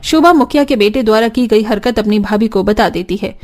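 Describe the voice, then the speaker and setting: A woman's voice: medium pitch, brisk, a little mellow. A woman narrating a scripted cartoon story as its storyteller.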